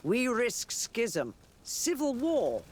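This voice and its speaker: An actor in a video game voicing a dignified, cautious church leader with a formal, grave, measured manner. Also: male voice, extremely high pitch, huge pitch swings, full character voice. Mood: grave, challenging. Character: dignified, cautious high church dignitary, weighing hard choices. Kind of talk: scripted video game cutscene acting.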